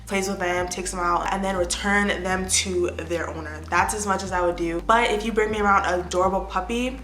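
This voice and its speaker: A young American woman in her twenties chatting casually to the camera as an influencer, answering fan questions with animated bursts of excitement. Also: fairly low pitch, brisk, echoey room, soft background music. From United States. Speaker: lifestyle influencer. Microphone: phone or tablet camera.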